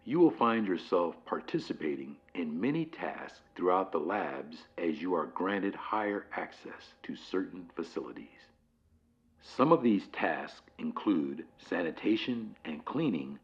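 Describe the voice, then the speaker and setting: Man acting as eerily composed male company training narrator, very low voice, swooping intonation, formal, deliberate, analog horror. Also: dull audio, full character voice. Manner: measured, formal, deliberate pauses.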